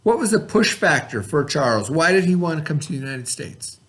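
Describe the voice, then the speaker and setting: A man's voice: fairly high pitch, big pitch swings, fairly quick, fairly bright tone. A man teaching young children, reading a story aloud and then reviewing it with patient questions.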